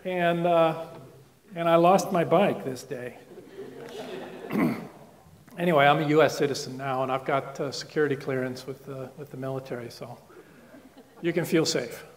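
A man's voice: fairly high pitch, unhurried, pauses often. A man from the Netherlands giving a chatty, joking public science talk in a lecture room, with restarts and asides. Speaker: guest lecturer. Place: lecture room with projected slides.

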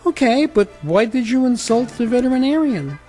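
A man's voice: very high-pitched, big pitch swings, normal speed, dark tone, soft background music, pitched above the performer's own voice. A man voicing a stern, suspicious police chief in a storybook puppet tale, questioning in an official manner. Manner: interrogating, official. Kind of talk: storybook character dialogue.

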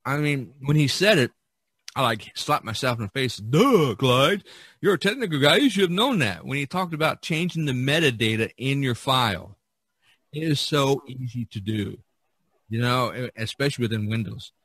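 A man's voice: medium pitch, lively intonation, normal speed, a bit bright, slightly rough, dry recording. A man hosting a podcast, chatting casually and giving advice in an emphatic, self-deprecating way, often repeating himself. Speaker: podcast host and artist.

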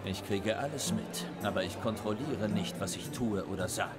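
An actor playing a brash, blunt warrior in a fantasy game, speaking informally. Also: male voice, fairly low pitch, normal speed, close to an everyday voice. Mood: guarded, serious. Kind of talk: dramatic game voice acting. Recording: loud music behind the voice.

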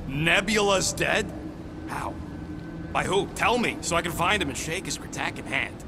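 A cocky raccoon mercenary fires off slangy, sarcastic quips. His pitch sits a little high and jumps around a lot. Music plays under the game cutscene. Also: expressive, normal speed. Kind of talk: video game cutscene acting.

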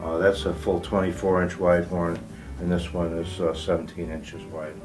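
A man in his sixties explaining products off the cuff as an exhibitor, halting with frequent pauses. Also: sits low, flat delivery, music in the background. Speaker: loudspeaker exhibitor. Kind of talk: unscripted product walk-through in an interview. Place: carpeted hotel room at an audio show.

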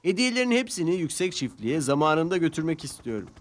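A man's voice: fairly high pitch, very animated, fast talker, noisy background, pitched above the performer's own voice. A man voicing a determined, proud, slightly irritable steam locomotive in a children's cartoon, earnest and headstrong.

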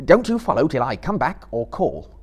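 Guy reading a young hobbit, earnest and pushy, voice pitched up and lively. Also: moderate pace, slightly rough. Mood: earnest, determined. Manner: urgent, raised and pleading. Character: anxious young male hobbit leader, earnest and determined.

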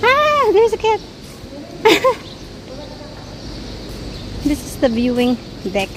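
A woman leads a walking tour vlog, her high voice rising in excited exclamations. She talks slowly and without hurry. The handheld outdoor recording is full of steady background noise. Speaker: travel vlogger. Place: outdoors and in a sports complex, rain and wind. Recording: noisy outdoor phone video.

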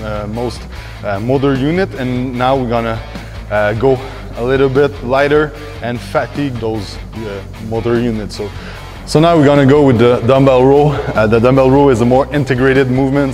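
A man in his thirties coaches a workout from the floor of a large indoor gym, explaining each exercise step by step. He talks at a mid pitch, and his explanations are full of fillers and restarts. Faint music plays behind him, picked up on a lapel mic. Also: darkish tone. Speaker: fitness coach.

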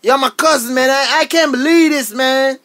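An actor playing a fun-seeking visiting cousin in a radio family drama, protesting in repetitive slang. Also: male voice, extremely high pitch, normal speed, pushed and bright. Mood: aggrieved. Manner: slangy, protesting, tags phrases with "man". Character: laid-back, fun-seeking male cousin visiting from New York.

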